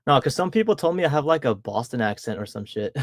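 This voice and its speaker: A man's voice: medium pitch, expressive, fairly quick, no echo, studio quality. Young man rambling on a live stream. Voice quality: tongue held back from an underbite. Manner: rambling, self-correcting, laughs at himself. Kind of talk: casual chat reading live chat.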